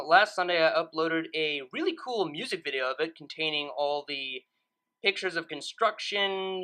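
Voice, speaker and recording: Enthusiastic, bright-voiced woman host. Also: fairly low pitch. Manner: enthusiastic, emphatic. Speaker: channel host and story reader. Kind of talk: casual vlog-style update and story narration.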